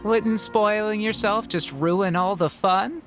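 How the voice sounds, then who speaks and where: A woman's voice: medium pitch, slow, close to an everyday voice. A woman playing a breezy, teasing, outgoing theater performer in a video game. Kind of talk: video game character acting. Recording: music behind the voice.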